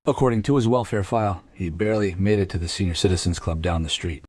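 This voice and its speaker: An actor playing a matter-of-fact, reasoning police officer with a husky voice in a crime radio play. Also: male voice, deep voice, quick pace, a little mellow, dry recording.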